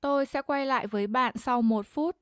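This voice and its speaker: A woman reading short translated lines in a plain, even way. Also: sits high, fairly quick, dry recording, clean studio sound. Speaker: translation reader.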